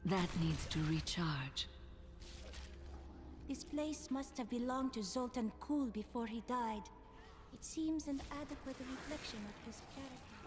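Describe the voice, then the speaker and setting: A cold necromancer over loud noise. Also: female voice, on the high side, animated. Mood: flat calm. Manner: measured, solemn. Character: cold, calm female necromancer heroine. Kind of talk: short fantasy game character lines.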